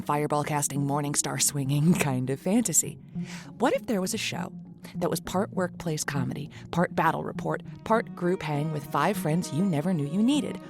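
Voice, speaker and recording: A woman with a slightly low voice reads a scripted promo. She is playful and chatty, in a conversational podcast style, with music behind her. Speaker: show co-creator reading a promo.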